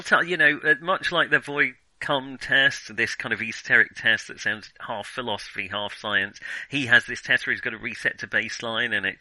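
Very bright, crisp-edged man thinking aloud. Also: medium pitch, dry recording, low-quality recording. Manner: hesitant, thinking aloud, opinionated. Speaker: film podcast co-host. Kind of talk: casual film discussion.

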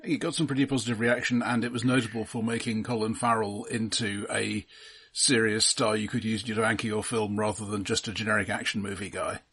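A man co-hosting a podcast, explaining things in a measured way during casual film discussion. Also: on the low side, level tone, a bit bright, breathy edge. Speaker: podcast co-host.

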